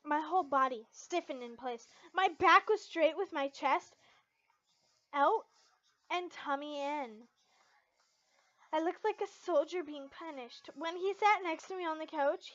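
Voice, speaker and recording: A woman's voice: sits high, a bit bright, no room sound. A young woman reading fan fiction aloud in a dramatic, amateur way, now and then stumbling over a line. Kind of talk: amateur expressive story read-aloud. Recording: dull, lo-fi home recording.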